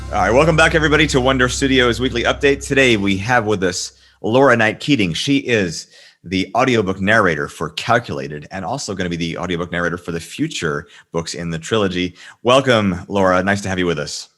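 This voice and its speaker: A man hosting a casual interview, asking halting questions that repeat words. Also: on the low side, fairly bright tone. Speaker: host.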